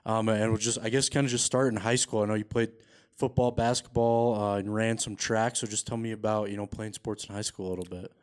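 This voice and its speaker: A man's voice: fairly low pitch, level tone, brisk, no room sound, studio quality. A man hosting a sports podcast, talking in a casual, laid-back, conversational way. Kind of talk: casual sports show commentary and interviewing.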